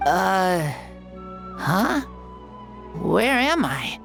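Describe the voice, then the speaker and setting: A shy, stammering young child. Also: female voice, medium pitch, big pitch swings, drawn-out words, vocal fry, a bit bright, soft background music, full character voice. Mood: wondering. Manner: hesitant, stammering, wondering. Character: young sickly-turned-strong child contestant, eager and shy. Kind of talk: video game cutscene voice acting.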